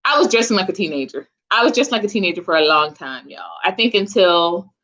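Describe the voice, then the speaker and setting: A woman in her forties with a bright, forward voice, talking to viewers of her live stream. She is chatty and informal, addressing the listeners directly in a loose, conversational way. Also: mid-range voice, animated, fast talker. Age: 40s. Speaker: host of a live chat stream. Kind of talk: casual live chat with viewers.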